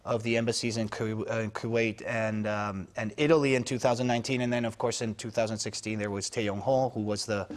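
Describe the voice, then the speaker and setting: A man speaking as a foreign news correspondent on a news panel, analytical and halting, with many fillers and restarts. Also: fairly low pitch, steady tone. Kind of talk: unscripted news panel discussion.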